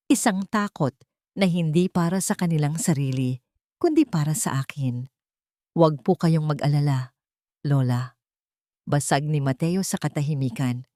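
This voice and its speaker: A woman with a fairly low voice narrates a scripted drama. Her delivery is deliberate, solemn and full of feeling, recorded close and dry. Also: mellow, dry recording. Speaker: audio story narrator. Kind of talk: dramatic scripted first-person story narration.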